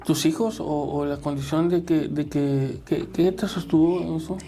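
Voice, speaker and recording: A man's voice: fairly high pitch, steady tone, moderate pace, dark tone. A man hosting an interview, who interjects often and restarts his questions with stammers. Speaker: interview host. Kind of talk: conversational interviewing.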